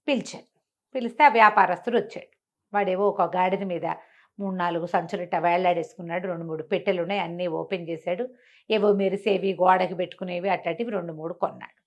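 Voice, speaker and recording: A woman's voice: medium pitch, fairly bright tone. A woman telling a bedtime story informally and conversationally, with explanatory asides and rhetorical questions.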